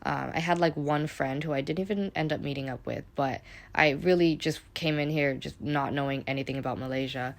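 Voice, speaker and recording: Vlogger, young American woman, deep with vocal fry, chatty and unfiltered, swears freely. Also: brisk. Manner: chatty, rambling, heavy use of "like", casual swearing. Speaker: travel vlogger. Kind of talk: casual travel vlog voice-over.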